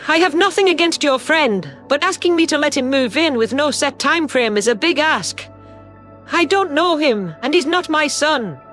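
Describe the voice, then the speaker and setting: Guy doing a worried alien mom, high pitched and bright, hesitant and pleading, soft music under it. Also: lively intonation, normal speed, heavily acted. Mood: reluctant. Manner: concerned, reasoning, imploring. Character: worried, hesitant alien mother, middle-aged female.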